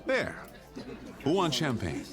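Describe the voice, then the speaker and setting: An American man plays a jovial doctor in a radio drama. His pitch is slightly high and leaps about a great deal as he banters and mock-grumbles. Faint music sits behind him. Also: wildly swooping pitch, takes it slowly, full character voice. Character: jovial, gruffly bantering doctor, the birthday host.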